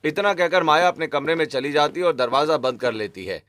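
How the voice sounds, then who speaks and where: A man's voice: fairly high pitch, animated, fairly quick, a bit bright, a little hiss or hum. A man reading scripted narration for a cartoon family story.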